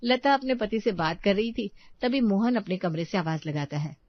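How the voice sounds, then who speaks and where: A woman's voice: mid-range voice, animated, normal speed, no room sound. A woman narrates a domestic story from a script in a mid-range voice. The recording is very dry and slightly muffled.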